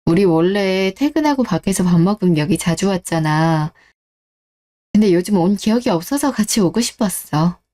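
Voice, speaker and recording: Mellow-voiced earnest young wife, acted by a woman. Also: medium pitch, animated, moderate pace, close to an everyday voice. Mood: earnest, pleading. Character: married daughter, suspicious wife, earnest and hurt. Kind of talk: scripted radio-drama dialogue.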